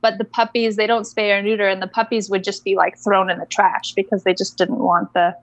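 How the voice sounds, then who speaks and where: A young woman from Indonesia, a guest coach telling her story in a casual interview, reflective and unhurried with soft laughs. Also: medium pitch, level tone, no echo, lo-fi sound.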